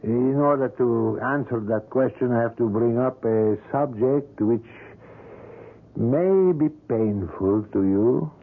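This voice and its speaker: Obsessive older sculptor; smooth and persuasive, mid-pitched, thin lo-fi radio sound. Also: male voice, slow pace. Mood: courtly, insistent. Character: obsessive older male sculptor, suave and insistent. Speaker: sculptor character. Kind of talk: 1970s radio drama acting. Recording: tinny lo-fi old radio broadcast.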